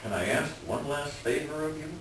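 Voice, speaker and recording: A man playing a hapless middle-aged swindler doctor in a staged reading, pleading and self-deprecating, with a halting, wheedling delivery. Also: on the high side, lively intonation, close to an everyday voice. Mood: resigned scheming. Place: echoey room, far from the mic. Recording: distant echoey room with hiss.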